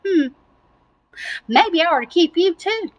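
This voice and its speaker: A woman's voice: very high voice, expressive, measured pace, highs cut off, pitched above the performer's own voice. A woman voicing a folksy, admiring male farmer in a children's story, musing and drawling in a Southern US accent.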